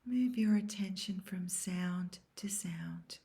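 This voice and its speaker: A woman guiding a scripted yoga nidra meditation in a soft, breathy, near-whispered voice, calm and measured. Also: fairly low pitch. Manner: calm, measured, soothing instruction. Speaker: guided meditation instructor.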